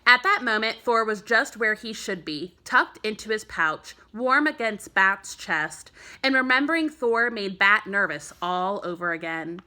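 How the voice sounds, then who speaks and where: A woman teacher narrating a children's book aloud, telling the story clearly for young listeners. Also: medium pitch, animated, measured pace, pushed and bright. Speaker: elementary school teacher.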